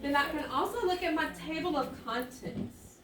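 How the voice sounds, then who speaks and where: A woman teaching children through an interactive read-aloud, thinking out loud and checking in with her listeners. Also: sits high, normal speed, a crowd in the background. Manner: thinking aloud with musing hums and exclamations. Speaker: elementary school teacher. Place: classroom with echo. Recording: roomy, distant mic, faint noise.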